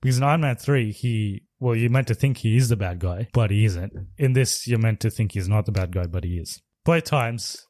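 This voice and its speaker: Male podcast co-host, slightly low voice, loose rambling delivery, dry close mic. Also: fairly quick, few pauses, darkish tone, dry recording. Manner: rambling, laid-back, lots of "like". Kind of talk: casual movie review chat.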